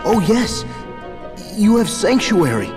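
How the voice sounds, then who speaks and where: A sincere, soft-hearted young bell ringer for a video game. His fairly high voice moves slowly and shyly. Music plays clearly behind him. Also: big pitch swings, full character voice. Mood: earnest. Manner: soft-hearted, halting, earnest.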